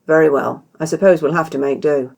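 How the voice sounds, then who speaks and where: A woman narrator plays a stern British man on the road in a fantasy storybook. He speaks low and clipped, with a creaky edge and a guarded manner. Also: fairly even intonation, fairly quick, a little mellow. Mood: tense, reserved. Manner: tight, clipped, reserved. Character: terse, stern straight-haired male traveller.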